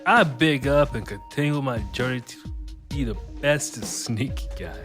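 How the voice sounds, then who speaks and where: A man's voice: medium pitch, a little slow, a bit bright, soft background music, lightly acted. A man playing a cocky, drugged-out street-smart fugitive in an audio drama, rambling in slurred wordplay. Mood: dazed, rambling.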